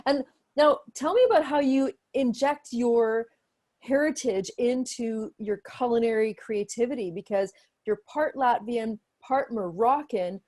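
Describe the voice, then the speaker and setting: A woman's voice: a little high, darkish tone. A woman hosting a casual interview, speaking in an enthusiastic, effusive way. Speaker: interviewer and host.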